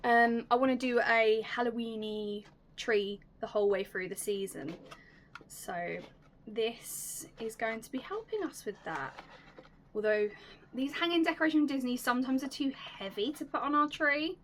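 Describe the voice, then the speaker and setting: A young woman hosting a casual unboxing vlog, chatty and enthusiastic, her voice slightly raspy and going hoarse. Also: a little high, normal speed, slight background noise. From United Kingdom. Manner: chatty, enthusiastic, drops to soft whispers at times. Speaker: YouTube host.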